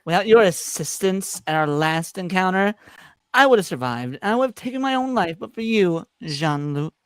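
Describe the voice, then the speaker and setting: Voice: medium pitch, expressive, normal speed, smooth, no room sound, lightly acted. A performer reading the part of a theatrical, teasing godlike alien trickster in a live sci-fi script read. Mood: grandiose wheedling.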